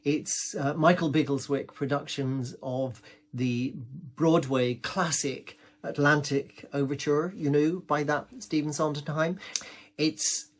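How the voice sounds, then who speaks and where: A man's voice: a little high, steady tone, slow. A man with an English accent performing a gossipy comic monologue, rambling along in an indignant village-gossip manner.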